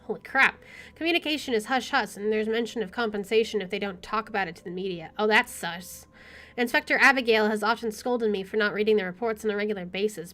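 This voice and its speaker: Young woman gamer, medium pitch, reading aloud. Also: a bit bright. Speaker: gaming streamer. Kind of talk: reading a visual novel aloud, with casual commentary.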